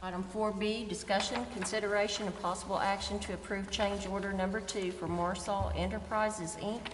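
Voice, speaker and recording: A woman's voice: medium pitch, fairly even intonation, normal speed. An American woman chairing a city council meeting, formally reading agenda items in a businesslike way. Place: council chamber. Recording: echoey meeting hall, noticeable background noise.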